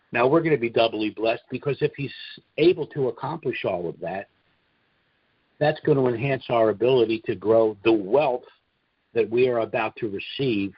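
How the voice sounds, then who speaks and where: Husky man briefing on a dull-sounding call. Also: mid-range voice, no echo. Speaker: conference call host and presenter. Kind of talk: informal monologue briefing on a conference call. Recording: dull, lo-fi conference call audio.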